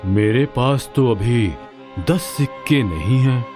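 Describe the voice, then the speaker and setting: A man playing a calm, regal king with a measured, lordly manner in a cartoon moral story. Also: mid-range voice, lightly acted. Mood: calm, firm. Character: calm, regal adult king in disguise, amused, stern. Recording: music under the voice.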